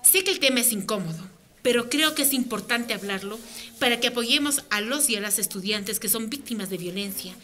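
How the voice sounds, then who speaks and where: A woman's voice: fairly high pitch, lively intonation, fairly quick, bright, forceful tone. A woman playing a direct, earnest women's rights advocate in a radio drama, speaking insistently. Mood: firm, persuasive.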